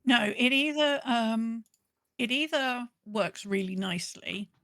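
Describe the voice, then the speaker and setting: A woman's voice: fairly high pitch, takes it slowly, pushed and bright, dry recording, studio quality. A woman co-hosting a casual gaming podcast, chatty, often voicing imagined remarks as she explains. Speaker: podcast co-host. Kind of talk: casual chat about a video game.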